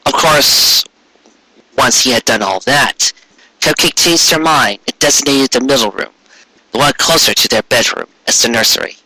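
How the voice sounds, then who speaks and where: A man delivers scripted narration with expressive, theatrical stresses. His voice is very bright and tense, slightly rough rather than clean, in a dry recording. He slips into wry asides as he reads. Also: mid-range voice, normal speed, no echo, rough recording. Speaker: fan fiction reader.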